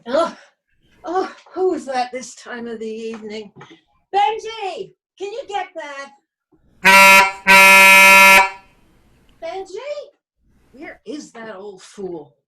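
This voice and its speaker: Woman playing an exasperated old wife, high swooping voice, slow delivery, grumbling to herself, stage comedy. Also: very animated, a little mellow, a little hiss or hum, full character voice. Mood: irritated, calling out. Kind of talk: stage play reading over video call.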